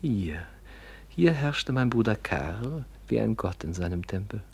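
A man's voice: on the low side, very animated, measured pace, creaky, breathy edge, heavily acted. An actor playing a scheming, honey-tongued younger nobleman in a theatrical, insinuating manner in a classic German radio drama. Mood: mock pity. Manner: theatrical, insinuating, swinging from hushed to exclaiming.

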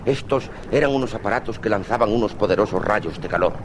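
A man's voice: fairly low pitch, fast talker, background noise. A man narrating dramatically in the style of a 1950s radio adventure serial. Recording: vintage 1950s radio, music underneath.

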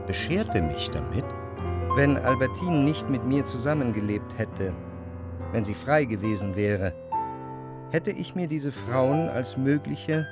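Male actor as introspective gentleman narrator, melancholy, possessive, measured, medium-pitched, articulate, literary radio drama, music behind. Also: lightly acted. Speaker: first-person narrator.

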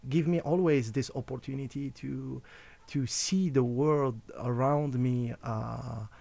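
A man's voice: medium pitch, creaky, dark tone. A man from Algeria who grew up speaking Arabic and French, a radio guest talking casually with many fillers and restarts. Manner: halting, many fillers and restarts, laughs often.

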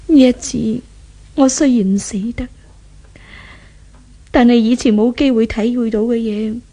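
A woman playing a weary, remorseful fallen rich girl in a 1970s Hong Kong Cantonese radio drama, with dramatic breathy delivery and sighs. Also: fairly high pitch, steady tone, unhurried, warm tone. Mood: sorrowful reflection. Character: once-pampered fallen young woman, tormented, weary, remorseful.

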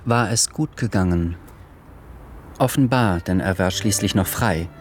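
A man narrates a radio drama in a low voice. It is scripted literary storytelling, set over clearly audible music. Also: a little slow. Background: music behind the voice.

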